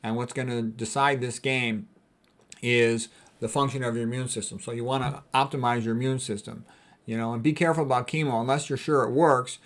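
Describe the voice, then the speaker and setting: A man's voice: mid-range voice, fairly even intonation, brisk. A man gives an informal explanatory talk in the role of a doctor. His delivery is blunt and colloquial, full of conversational asides and firm opinions. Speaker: doctor giving a health talk. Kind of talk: informal explanatory lecture with commentary.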